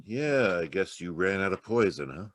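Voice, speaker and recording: A sardonic, hard-nosed police detective, acted by a man, with a deep voice that swings up and down. He needles gruffly in old radio noir fashion. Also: expressive, normal speed, no echo. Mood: needling. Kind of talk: 1940s radio noir acting.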